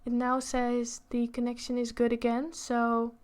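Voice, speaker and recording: A woman in her twenties chatting casually on an art livestream while she draws, unhurried and pausing between short phrases. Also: a little high, monotone, darkish tone. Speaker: art streamer and pastel artist. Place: indoors at a drawing desk.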